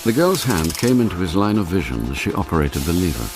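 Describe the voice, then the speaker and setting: An actor narrating a spy thriller for radio drama in a grave, dramatic scripted style. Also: male voice, low voice, big pitch swings, fast. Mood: tense, measured. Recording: loud music behind narration.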